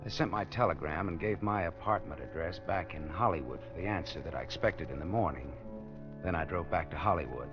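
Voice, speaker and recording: Tough detective narrator, deep dry delivery, lo-fi old radio recording. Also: male voice, a little fast, over music. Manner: dry, wry, low-key. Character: wisecracking male private detective, dry and tough. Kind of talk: 1940s radio acting and narration.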